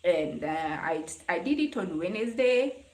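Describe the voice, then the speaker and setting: A woman's voice: lowish voice, lively intonation, a little slow, a little mellow. Kenyan woman livestreaming in an echoey room. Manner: conversational, greeting and answering commenters. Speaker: livestream host. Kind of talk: casual live chat with viewers. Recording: roomy, echoing live stream sound.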